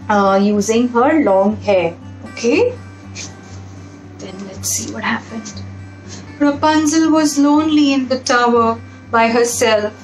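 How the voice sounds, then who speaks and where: A woman with a high voice narrates a children's picture book at a slow pace. She pauses for simple, repetitive explanations and puts questions to her young listeners. The sound is roomy and echoing, with music in the background. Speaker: storybook reader and narrator for children. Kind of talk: read-aloud children's story with explanatory asides.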